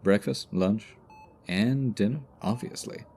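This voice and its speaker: A man's voice: fairly low pitch, slow, gravelly, darkish tone, slight background noise. A man playing a cocky, teasing supervillain stuck as a hospital patient in an audio roleplay, talking with smug mock politeness. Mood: cocky, playful. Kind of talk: ASMR roleplay audio drama.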